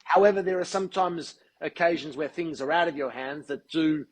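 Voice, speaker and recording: A man being interviewed as a guest, speaking in a measured, articulate and deliberate way. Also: a little high, breathy edge. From Australia. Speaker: guest, football TV presenter. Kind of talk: podcast interview.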